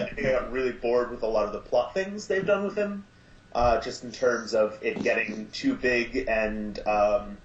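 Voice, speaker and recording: A man talking in a casual podcast chat, thoughtful and measured as he picks his words. He sits in a roomy space, and the recording sounds muffled and dull. A faint hiss runs underneath. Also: medium pitch. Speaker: podcast guest.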